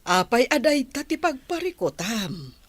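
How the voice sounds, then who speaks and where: Slow, expressive mother. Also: a little high, no room sound. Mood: gently scolding. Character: middle-aged mother, patient and gently admonishing. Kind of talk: audio drama acting.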